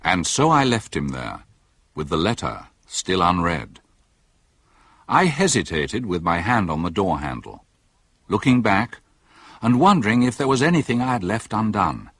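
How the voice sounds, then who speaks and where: An actor playing a composed doctor narrating a mystery in a radio play, in measured storytelling style. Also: male voice, low-pitched, big pitch swings, a bit bright, husky, dry recording, heavily acted. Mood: composed, reflective. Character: composed English doctor telling his mystery tale. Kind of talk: scripted first-person narration.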